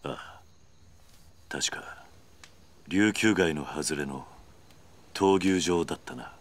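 A man's voice: very deep voice, slow. A man playing a stoic, commanding veteran yakuza in a video game drama, terse, firm and protective. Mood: grave, resolute.

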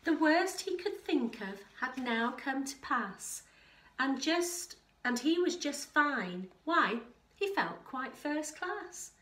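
A woman's voice: sits high, sing-song, slow pace, a bit bright. A middle-aged woman reading a picture book aloud to young children, with rhythmic delivery and chatty asides. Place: living room at home. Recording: roomy, echoing home living room.

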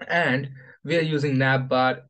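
Male tutorial host, mid-pitched, informal, halting delivery with repeated phrases, narrating a screen demo. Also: fairly even intonation, a bit bright. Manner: halting, repetitive phrasing with frequent "you know". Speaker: host of a coding tutorial channel. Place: voice-over for a screen recording.

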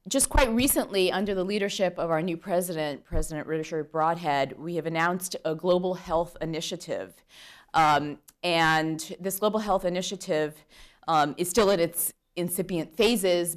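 A woman in her thirties speaks into a podium microphone in a conference room full of attendees. Her voice sits somewhat low, and she lectures in an earnest, measured way, filling pauses with ums as she welcomes the audience. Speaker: law professor opening a conference.

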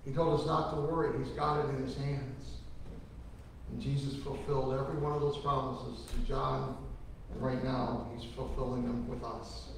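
A man's voice: medium pitch, level tone, normal speed, a little hiss or hum. Middle-aged male pastor, earnest folksy funeral preacher, roomy church sound from far off. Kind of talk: funeral sermon. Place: large church sanctuary. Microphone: lectern microphone from a far camera.

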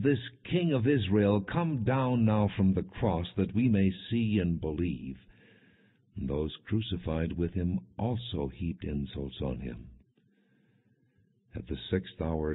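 A man narrating scripture as an audiobook, reading in a solemn, measured, expressive manner. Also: low voice, breathy edge. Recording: dull, phone-like narrow-band audio.

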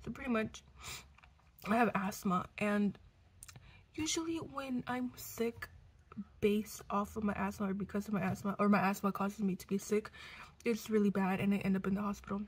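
A sick young woman in her twenties, hoarse and congested, chatting casually to a close camera at home, cursing and coughing now and then. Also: medium pitch, fairly even intonation, normal speed. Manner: chatty, swearing, coughs between lines. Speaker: vlogger. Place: living room at home. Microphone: camera close to face.

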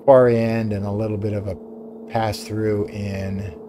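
A man's voice: lowish voice, level tone, measured pace, a little hiss or hum. A man hosting a game walkthrough with casual commentary. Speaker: gaming video host. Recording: loud game ambience behind voice.

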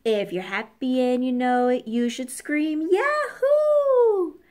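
A woman voicing a noisy, excitable parrot with shrieking, sing-song delivery for a children's storybook. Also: high-pitched, takes it slowly, voice pushed up. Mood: excited, shrieking.

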